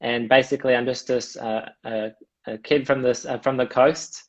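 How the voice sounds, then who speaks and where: A man's voice: medium pitch, fairly even intonation, normal speed. A young Australian man, a hospitality alumnus, chatting easily as a guest with many fillers. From mid-north coast of New South Wales, Australia. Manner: easygoing, with frequent fillers. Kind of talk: casual interview over a live video call. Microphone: video call. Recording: dull lo-fi video call audio.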